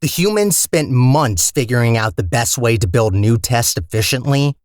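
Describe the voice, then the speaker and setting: A man's voice: mid-range voice, expressive, moderate pace, no room sound, studio quality, close to an everyday voice. A man voicing a talkative robot core in a video game, a petty, scheming villain with a sarcastic, theatrical and condescending manner. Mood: condescending, chatty. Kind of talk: video game character acting.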